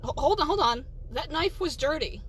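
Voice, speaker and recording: A woman's voice: fairly high pitch, big pitch swings, a little slow, sharp tone, a little hiss or hum, full character voice. A woman playing a pushy, indignant sandwich shop customer in a comedy skit, entitled and accusatory.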